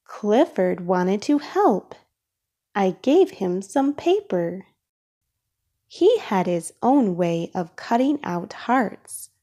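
A woman reading a children's storybook aloud in a careful, expressive way for young listeners. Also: medium pitch, slow, breathy edge. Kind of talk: read-aloud storybook narration.

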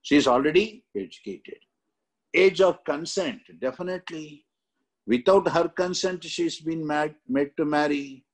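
An older man with an Indian accent lecturing on exam questions in a halting, repetitive way with frequent tags. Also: on the high side, rough recording. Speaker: exam coaching teacher. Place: indoor room, seated.

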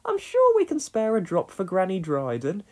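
A woman voicing a homely farm woman who talks in a brisk, cheery, practical way. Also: medium pitch, wildly swooping pitch, dark tone, voice pushed up.

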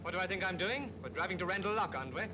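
A man's voice: high-pitched, expressive, rapid-fire. An actor in his thirties playing a suave, reckless British upper-class driver, clipped, smug and nonchalant, in an old film. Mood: cool, evasive. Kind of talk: 1940s film acting. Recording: noisy old film soundtrack, car engine.

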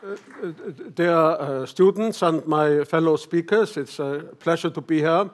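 An older man with an Icelandic accent giving a conference lecture, halting, often clearing his throat. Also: on the high side, a little hiss or hum. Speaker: professor giving a talk. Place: conference hall stage, at a lectern.

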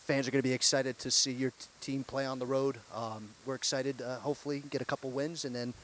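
A young man hosting a post-game show, asking upbeat, brisk questions on a handheld mic. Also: medium pitch, flat delivery, fairly bright tone, a little hiss or hum. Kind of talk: sports interview. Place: courtside set in an empty arena.

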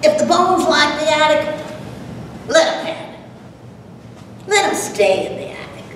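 A woman's voice: high-pitched, lively intonation, measured pace, voice pushed up. Woman acting haunted old farm widow, echoey hall. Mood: eerie, wry. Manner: theatrical, storytelling. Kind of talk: dramatic poetry reading. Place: large lecture room, at a podium. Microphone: distant camera microphone. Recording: echoey hall from far, noisy.

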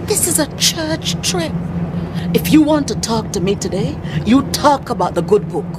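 A woman's voice: fairly high pitch, sing-song, normal speed, over loud music. An actress playing a prim, middle-aged widowed churchwoman, exasperated and proper.

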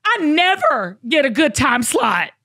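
A woman's voice: sits high, wildly swooping pitch, measured pace, bright, forceful tone. A woman with a Southern US accent hosting a motivational talk podcast, emphatic and folksy, repeating phrases to drive them home. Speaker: show host.

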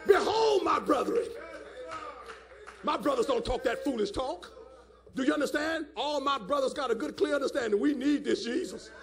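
A man a fiery call-and-response sermon, emphatic and repetitive, often rising to a shout, with a gravelly voice. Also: very high-pitched, animated, moderate pace, music in the background. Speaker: preacher.

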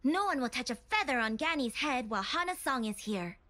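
An actress playing a cocky, playful young Korean mech pilot and gamer, cheeky and teasing. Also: female voice, high-pitched, lively intonation, brisk, very noisy. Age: young adult.

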